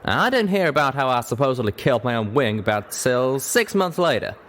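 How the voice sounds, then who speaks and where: A man's voice: mid-range voice, big pitch swings, brisk, fairly bright tone, pitched above the performer's own voice. A man voicing an earnest, folksy pegasus cowboy pony in a storybook reading. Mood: wry, earnest. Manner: drawling, folksy.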